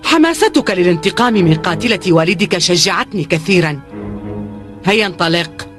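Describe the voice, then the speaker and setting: A woman's voice: on the low side, animated, fairly quick, natural voice. Woman acting authoritative female fleet commander over music. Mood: controlled, commanding. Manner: authoritative, formal. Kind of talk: dubbed cartoon acting. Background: dramatic music.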